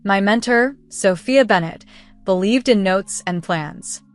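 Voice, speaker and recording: A woman reading a scripted first-person story in a measured, earnest, literary storytelling manner. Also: medium pitch, soft background music. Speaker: narrator.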